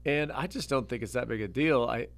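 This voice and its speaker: A middle-aged man co-hosting a podcast in casual chat, rambling with frequent restarts and getting animated when making a point. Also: medium pitch, a little fast, creaky. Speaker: podcast host.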